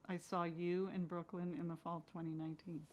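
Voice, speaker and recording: A woman's voice: on the low side, flat delivery, fairly quick. A woman chatting casually as an interview guest, candid and given to questioning herself. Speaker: guest actress.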